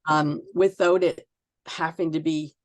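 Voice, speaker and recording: A woman author being interviewed, answering conversationally in a reflective, halting way as she searches for words. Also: low-pitched, steady tone, no room sound.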